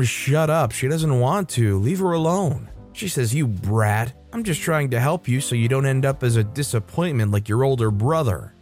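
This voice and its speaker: A man narrating online stories in a steady scripted read, with dry asides. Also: mid-range voice. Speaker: channel narrator. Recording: faint music under voice.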